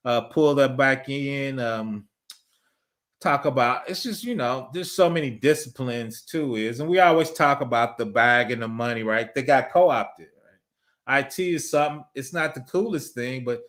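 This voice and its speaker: A man's voice: medium pitch, unhurried, a bit bright. A man, chatting casually on a livestream, reading viewer messages, laughing often and rambling through asides. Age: 50s. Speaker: livestream host reading super chats.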